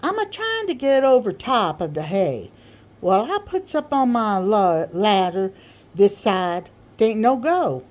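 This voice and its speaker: A woman's voice: medium pitch, sing-song, a little slow, darkish tone, voice pushed up. A pompous, boastful male orator from a storybook, acted out by a woman reader. He speaks in folksy dialect and leans hard on his grand words, holding forth as if to a crowd of admirers. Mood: pompous, explaining. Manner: grandiloquent, emphatic, folksy dialect speech with mangled big words.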